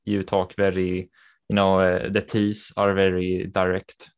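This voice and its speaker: A young man from Sweden with a light, Americanized Swedish accent, chatting casually as a podcast guest and pausing on many fillers. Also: low voice, monotone, smooth. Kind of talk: casual chat.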